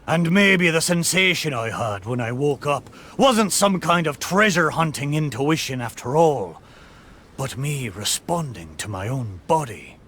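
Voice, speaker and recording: A man's voice: fairly high pitch, sing-song, a little slow, a bit bright, a little hiss or hum. A man voicing a talking ship's spirit in a video game, a hearty, wistful seafarer with a theatrical delivery. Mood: wistful, reflective. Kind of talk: scripted video game character dialogue.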